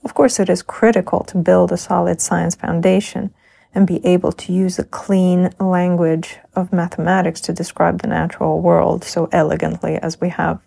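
A woman's voice: fairly low pitch, little pitch movement, normal speed, vocal fry, dark tone, breathy edge. A middle-aged woman, a crew member in a simulated Mars habitat, reflecting thoughtfully and earnestly in an audio log.

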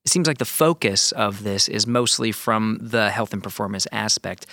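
A male podcast host asks curious, searching questions in an easy, conversational way. His voice is low and gravelly with plenty of fry. It is recorded close and dry. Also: moderate pace, bright, forceful tone, no echo. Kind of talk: conversational interview.

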